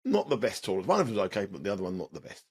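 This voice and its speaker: A hoarse man, talking tennis betting as an analyst in a casual podcast chat, rambling with plenty of fillers. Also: fairly low pitch, wildly swooping pitch, rapid-fire. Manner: rambling, restarts often, many "uh" fillers. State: hoarse, voice partly lost.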